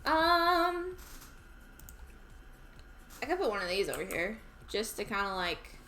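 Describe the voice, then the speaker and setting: A woman's voice: fairly high pitch, big pitch swings, slow, bright, forceful tone, soft background music. A woman with a Southern US accent, chatting casually to herself as she streams a game. She rambles and is easily amused.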